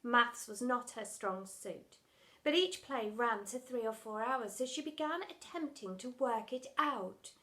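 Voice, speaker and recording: A woman reading a children's story aloud as a storyteller, in an expressive, theatrical and deliberate manner. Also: on the high side. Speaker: storyteller, school story reader.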